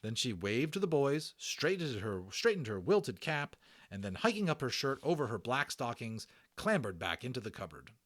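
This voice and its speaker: A man's voice: medium pitch, sing-song, normal speed, fairly bright tone. A man narrating a children's audiobook as a dramatic reading, with casual explanatory asides.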